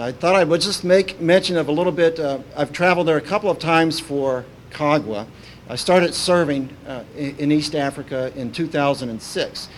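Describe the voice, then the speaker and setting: A man raised in Kentucky speaks before a congregation, his voice sitting a little above the usual male pitch. He talks in an informal, halting way, pausing on "uh" and restarting his phrases. A faint hiss lies under the recording. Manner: halting, many "uh" fillers and restarts. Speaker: visiting minister. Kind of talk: informal sermon opening.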